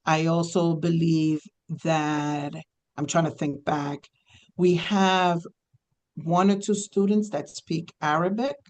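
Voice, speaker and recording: A man answering questions at a school committee meeting, hesitant and thinking aloud with many fillers. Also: on the high side. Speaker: district multilingual program staff.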